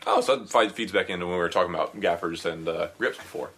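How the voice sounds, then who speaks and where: A man's voice: sits low, quick pace, fairly bright tone, husky. A man co-hosting a podcast, giving friendly advice in casual chat and dropping in lots of "you know". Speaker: podcast co-host.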